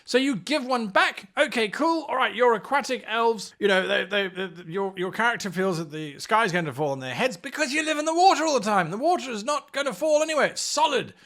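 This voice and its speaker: A man's voice: very high voice, animated, fairly quick, hardly any pauses, fairly bright tone. A man presenting tabletop role-playing game advice in a theatrical, playful manner with sarcastic asides. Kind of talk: animated explainer on tabletop role-playing games.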